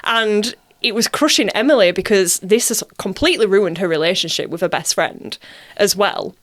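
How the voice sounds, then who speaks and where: A woman's voice: medium pitch, moderate pace, a bit bright. A woman from Bradford with a Bradford accent, an actress chatting casually as a guest in an interview. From Bradford, England.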